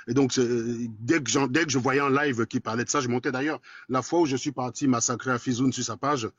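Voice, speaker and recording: Fast-talking blunt Cameroonian man. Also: medium pitch, fairly even intonation, no room sound, dull audio. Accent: Cameroonian French. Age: 40s. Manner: blunt, crude and combative, quick and talkative. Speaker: guest in a live debate. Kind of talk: casual live chat.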